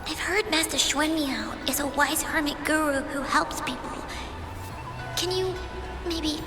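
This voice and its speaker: An earnest young girl apprentice in a video game. Her voice is high and slow, hesitant and deferential. It echoes in a long reverb with music behind. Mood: hesitant pleading.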